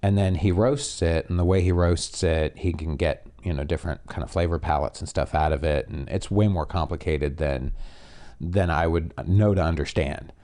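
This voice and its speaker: A man from Canada with a nasal voice, co-hosting a podcast in relaxed, unhurried casual chat on a podcast microphone. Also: sits low. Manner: relaxed, unhurried, many fillers. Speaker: podcast co-host.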